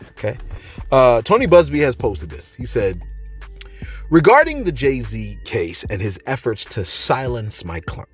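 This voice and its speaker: A man hosting a casual commentary show, reading posts aloud and answering viewers with animated, mock-angry bursts. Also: on the low side, breathy edge. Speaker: commentary channel host.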